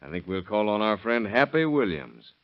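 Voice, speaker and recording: An actor in a 1930s radio crime drama playing a shrewd, no-nonsense American police detective, brisk and probing. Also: male voice, on the low side, very animated. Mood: stern, pressing. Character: shrewd, businesslike male police detective pressing suspects. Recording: muffled vintage 1930s radio recording.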